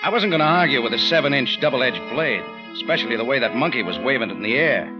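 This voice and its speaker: A man's voice: medium pitch, animated, fast, close to an everyday voice. A man with an American accent playing a wry, hard-boiled cafe owner who narrates an old-time radio detective drama. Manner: sardonic, tough, wisecracking. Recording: muffled old radio recording, music behind.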